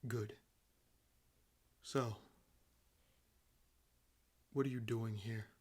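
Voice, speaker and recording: A man playing a sardonic, freshly awakened vampire in a roleplay audio drama, talking casually and haltingly. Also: fairly low pitch, fairly even intonation, darkish tone, husky, heavily acted. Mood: commanding, calm.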